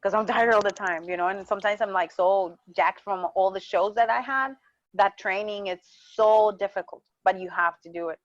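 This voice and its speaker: A woman's voice: mid-range voice, moderate pace, dry recording. A woman from Mexico with a Mexican accent, talking passionately in a casual interview and often repeating words as she searches for them. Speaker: wrestling champion guest. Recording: dull muffled dry sound.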